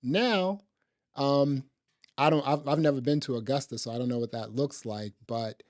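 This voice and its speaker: A man's voice: medium pitch, moderate pace, darkish tone. A man with a mid-range voice gives a casual film review as a podcast co-host. He sounds laid-back and conversational, and he likes to repeat a phrase for emphasis.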